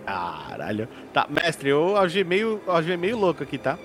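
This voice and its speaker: A man's voice: on the high side, big pitch swings, normal speed, faint music behind. A man with a Brazilian accent chatting casually as a tabletop game player, slangy and given to swearing.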